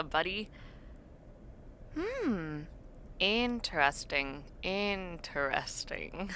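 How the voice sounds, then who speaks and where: A young adult woman playing a friendly, teasing university student in a casual audio roleplay, talking to the listener with expressive reactions and drawn-out words. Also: mid-range voice, a little hiss or hum, exaggerated voice. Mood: amused, teasing.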